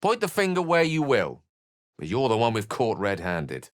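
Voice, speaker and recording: A man's voice: mid-range voice, wildly swooping pitch, normal speed, no echo, clean studio sound. An actor voicing an eloquent, wry, bookish male wizard companion in a video game, with a theatrical, erudite delivery. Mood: earnest, musing. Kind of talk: video game character voice acting.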